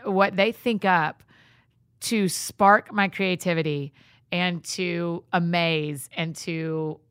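Enthusiastic woman host, low-pitched. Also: fairly even intonation, slow pace, a bit bright, clean tone, no room sound. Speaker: podcast host. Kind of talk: casual interview chat.

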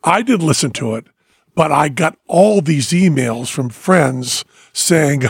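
A man's voice: fairly high pitch, animated, slow, gravelly, slightly rough, dry recording, clean studio sound. A middle-aged man from Western Massachusetts with a faded New England accent, chatting casually as a podcast co-host, laughing often and trailing off mid-sentence. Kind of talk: casual chat, storytelling.

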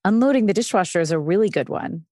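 A woman's voice: medium pitch, animated, fast talker, dark tone, no room sound, clean studio sound. A woman hosting an interview podcast, asking questions and commenting in a casual, chatty way. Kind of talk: conversational interview.

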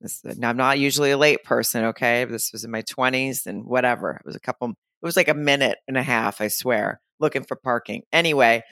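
Podcast cohost lady, low voice, kinda punchy and bright, rambly storyteller, dry close mic. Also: a little fast, few pauses, dry recording. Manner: rambling storyteller, laughs between points. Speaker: podcast co-host. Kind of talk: casual recap chat.

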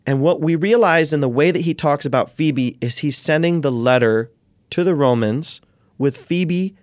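A man speaking as a guest scholar in an interview, explaining in an animated way and acting out questions and quotes. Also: fairly high pitch, moderate pace, no room sound, clean studio sound.

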